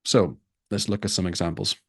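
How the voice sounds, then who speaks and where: A man's voice: low-pitched, a little fast, husky, no room sound, studio quality. A man who tutors for a test, teaching a live online lesson and repeating his key points for emphasis.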